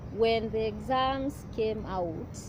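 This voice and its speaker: A young woman, speaking in an earnest, halting way and often pausing to restart, in a personal vlog. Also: on the high side. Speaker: vlogger. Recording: clear outdoor street noise behind.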